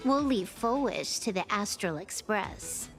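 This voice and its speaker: A woman's voice: medium pitch, expressive, unhurried, soft background music, close to an everyday voice. A woman playing a cocky, playful young hacker in a video game, nonchalant and teasing as she drawls. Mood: smug, confident. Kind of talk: scripted video game character acting.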